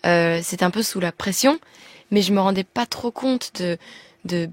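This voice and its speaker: A woman's voice: lowish voice, normal speed, dry recording. A young woman from Belgium with a Belgian French accent, a singer as a radio interview guest, talking hesitantly with many restarts. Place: radio studio.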